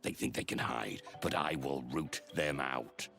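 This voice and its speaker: A man voicing a comically self-serious, conspiracy-minded villager in an animated video game, overdramatic and intense. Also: extremely low pitch, a little slow, vocal fry, faint music behind, heavily acted. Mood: conspiratorial.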